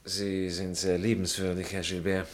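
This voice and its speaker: An actor playing an urbane, ironic aristocratic husband with a Viennese accent and a dry, icily polite manner in a 1960s German radio play. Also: male voice, low-pitched, little pitch movement, normal speed, lightly acted.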